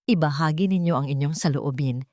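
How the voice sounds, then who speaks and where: A woman storyteller reading a scripted dramatic narration in a solemn, sentimental, measured way. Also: low voice, dark tone, dry recording, studio quality.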